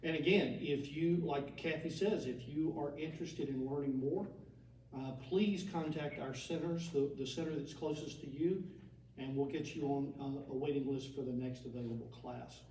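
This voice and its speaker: A man with a warm, slightly high voice hosts an annual meeting, talking with a few fillers and some light humor. He sounds far from the mic, and the echoey room carries faint ambient noise. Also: moderate pace. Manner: speaks with fillers and mild humor. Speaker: organization director hosting an annual meeting. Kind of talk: formal meeting address.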